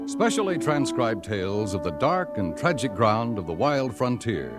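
A man's voice: lowish voice, big pitch swings, normal speed. A man announcing a vintage radio drama in a grand, declamatory style. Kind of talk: dramatic 1950s radio show introduction. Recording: vintage radio recording under loud music. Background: loud brass orchestral music.

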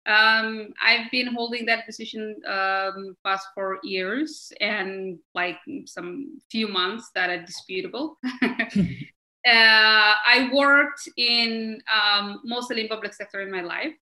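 A woman from Somalia, a guest in an interview, speaking emphatically and animatedly, halting often on fillers and breaking into laughter. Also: mid-range voice, fairly even intonation, pushed and bright, reverberant, low-quality recording. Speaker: guest, member of parliament. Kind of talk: conversational interview answers.

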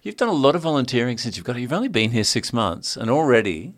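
A man's voice: medium pitch, very animated, fast. A man hosting an interview, asking upbeat, leading questions and prompting his guest.

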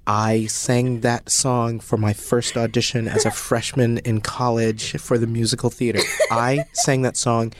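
A man as a podcast guest, telling a story in an animated, self-mocking way. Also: mid-range voice, measured pace, hardly any pauses, a little hiss or hum. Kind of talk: casual storytelling.

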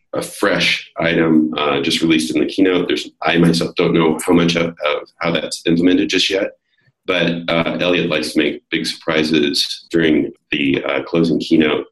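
A man with a deep, slightly worn voice speaks as a podcast guest. He explains technical points in a relaxed way, full of little fillers. The recording carries a roomy echo. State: slightly hoarse. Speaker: guest, developer advocate. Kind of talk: casual technical interview.

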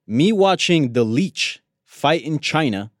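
A man's voice: a little high, lively intonation, slow pace, no echo, studio quality. A man who grew up in Colombia and speaks Spanish natively, co-hosting a casual MMA talk show in an easygoing way full of fillers. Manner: easygoing, many fillers like you know. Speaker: co-host.

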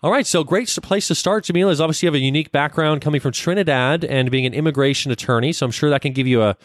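A man's voice: fairly high pitch, rapid-fire, no echo, studio quality. A man from Canada hosting an interview podcast, friendly and rambling, with many fillers and restarts. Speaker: podcast host.

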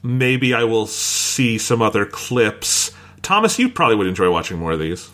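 A man's voice: lowish voice, expressive, moderate pace, fairly bright tone. A man hosting a podcast, chatting informally and swearing casually. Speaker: podcast host.

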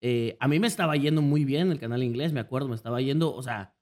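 A man's voice: mid-range voice, fast. A man with a Mexican accent telling stories in a casual podcast chat, slangy and full of fillers. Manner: relaxed slangy storytelling, many fillers. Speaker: guest content creator.

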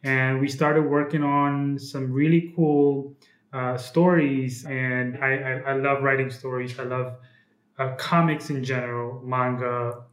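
A young man from Ethiopia talks as an interview guest, hesitant and full of restarts. His voice has a mid-range pitch. The recording picks up a roomy echo around him. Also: level tone, unhurried. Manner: hesitant, many fillers and restarts. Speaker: guest, comic book publisher. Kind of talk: casual interview.

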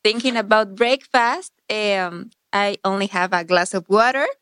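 Friendly young woman, smooth voice. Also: mid-range voice, a little slow, a bit bright, dry recording, studio quality. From Mexico City. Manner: friendly, enthusiastic. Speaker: guest, MBA student. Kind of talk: casual podcast chat.

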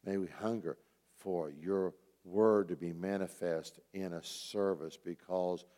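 Male pastor, mellow and on the low side, exhorting earnestly in a sermon, echoing hall sound. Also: fairly even intonation, measured pace. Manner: earnest, urging, repeats key phrases for emphasis. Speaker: pastor teaching a church group. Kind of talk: sermon, exhortation with rhetorical questions. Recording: roomy hall with long reverb.

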